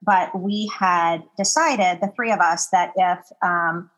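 A woman's voice: fairly low pitch, takes it slowly, a bit bright. A woman co-hosting an interview, speaking earnestly and rambling a little, with lots of fillers. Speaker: podcast co-host. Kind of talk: interview conversation.

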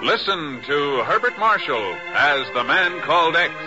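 Male 1950s radio narrator, formal, dramatic, measured, dull vintage recording with orchestral backing. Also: a little high, animated. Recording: muffled old radio broadcast with music. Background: orchestral music.

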